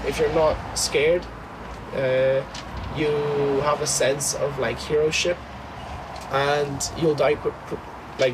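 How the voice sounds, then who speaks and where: Young Dublin man, noisy location recording. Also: mid-range voice. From Dublin, Ireland. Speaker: soldier being interviewed. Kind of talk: informal interview.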